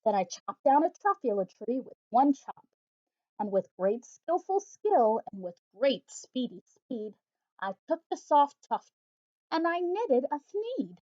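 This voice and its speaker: A woman teacher reading a rhyming children's picture book aloud in a rhythmic, expressive way. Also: high-pitched, a little slow. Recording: slightly dull lo-fi audio.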